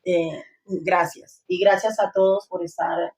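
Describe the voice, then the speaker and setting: A woman's voice: fairly low pitch, level tone, slow, a little mellow, poor audio. A woman pastor giving an interview testimony, earnest with hesitant fillers. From Colombia. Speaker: guest pastor.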